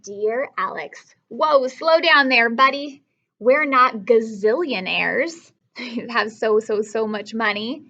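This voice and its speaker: Casting: woman as wry, patient storybook dad, measured and firm, with a bright, unhurried delivery. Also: fairly high pitch, animated, highs cut off, full character voice. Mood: reasoning. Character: patient, wry father answering his young son. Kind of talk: storybook read-aloud.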